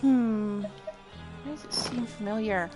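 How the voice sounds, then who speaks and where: A woman's voice: on the high side, measured pace. A woman streamer giving casual commentary on a game, chatty and thinking aloud. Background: game music.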